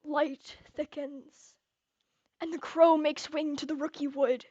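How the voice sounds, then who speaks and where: A young woman acting a brooding, tormented, murder-plotting king in Shakespearean verse, moving between hushed and declamatory delivery. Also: high-pitched, flat delivery, takes it slowly, no room sound, dull audio. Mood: brooding, secretive. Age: young adult.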